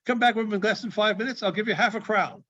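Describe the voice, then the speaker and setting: A man voicing an excitable, repentant old miser in a storybook reading, exclaiming and stammering as he repeats himself. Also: high-pitched, quick pace, muffled recording, pitched above the performer's own voice. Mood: giddy, excited.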